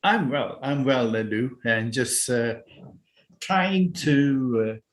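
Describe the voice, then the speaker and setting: A man's voice: medium pitch, animated, takes it slowly. A man from Singapore, a spiritual healer and meditation teacher, talking as a guest in a casual interview over a video call, hesitant with many restarts. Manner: hesitant and searching, many restarts and "you know".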